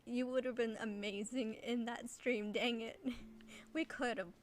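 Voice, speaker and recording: Slow, giggly female game streamer. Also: a little high, fairly even intonation. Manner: giggly, halting, restarts thoughts. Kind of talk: casual chat while playing a video game. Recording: faint background noise.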